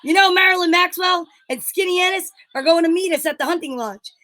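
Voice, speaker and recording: A woman's voice: very high voice, fairly quick, bright, forceful tone, voice pushed up. A woman playing the bossy, exasperated straight man of a 1940s-style radio comedy duo. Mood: bossy, brisk.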